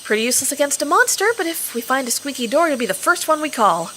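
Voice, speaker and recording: A woman's voice: sits high, expressive, fast, bright, forceful tone, a little hiss or hum. A woman playing a plucky young Kansas farm girl in an audio drama, emphatic and sardonic, easily exasperated. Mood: dry sarcasm.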